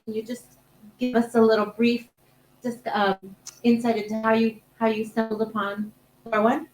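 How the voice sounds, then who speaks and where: A woman moderating a panel, asking questions in a halting, searching way. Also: fairly high pitch. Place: conference panel room. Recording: echoey room, faint hum.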